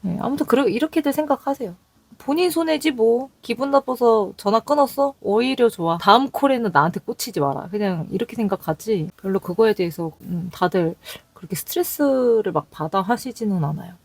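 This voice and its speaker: Young seoul woman vlogging about call center life, kinda breathy, dry close sound. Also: on the high side, lively intonation, a little fast, a little mellow, no room sound. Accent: Seoul Korean. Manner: chatty, candid storytelling with quoted callers. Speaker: call center agent sharing work stories. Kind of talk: casual talking vlog.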